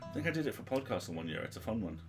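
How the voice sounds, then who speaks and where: A man's voice: lowish voice, big pitch swings, rapid-fire, soft background music. A man co-hosting a livestream, moving between casual chat and reading game narration aloud.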